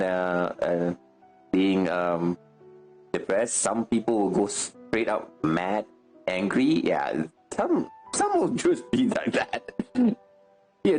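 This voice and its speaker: A man's voice: medium pitch, a little mellow. A man doing informal, sweary gameplay reaction commentary as a streamer. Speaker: game streamer. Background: game music.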